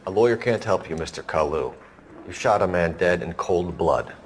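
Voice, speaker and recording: An actor playing an earnest, stern young police detective in a video game, speaking formally and forcefully. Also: male voice, sits low, lively intonation, normal speed, slight background noise. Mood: accusing. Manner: formal, clipped, forceful in questioning. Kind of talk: video game dramatic acting.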